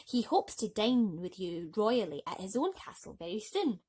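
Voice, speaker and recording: A woman voicing a sly, courteous and bossy male cat servant in a storybook, smooth-talking and commanding. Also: medium pitch, sing-song, normal speed, darkish tone.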